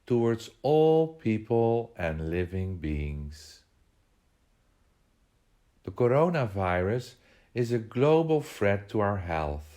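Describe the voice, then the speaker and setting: A man leading a guided meditation, giving measured instructions in short phrases with pauses between them. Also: lowish voice, a little mellow.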